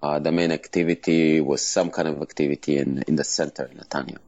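Very deep gravelly man with Israeli accent. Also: flat delivery, a little mellow, dull audio, a little hiss or hum. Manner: halting, many pauses and fillers. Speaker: martial arts school owner. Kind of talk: casual interview answers.

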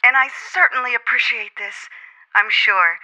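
A woman's voice: on the high side, moderate pace, pushed and bright. An actress playing a polite young woman in a 1950s radio drama, speaking through a telephone filter. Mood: polite, grateful. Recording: tinny filtered phone line, vintage radio.

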